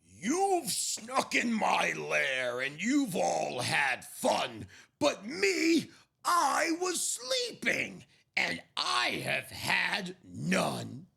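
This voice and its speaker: A woman voicing a big, grumbling brown bear who feels left out, in a children's storybook, plaintive and drawn-out. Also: lowish voice, huge pitch swings, gravelly, sharp tone, slightly rough, heavily acted. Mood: indignant, sulky.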